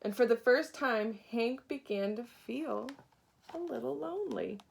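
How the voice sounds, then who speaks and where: A woman reading a children's picture book aloud with dramatic pauses, acting out the story. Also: fairly high pitch, creaky, slight background noise, rough recording. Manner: deliberate dramatic pauses, acts out characters. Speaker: storybook reader, teacher.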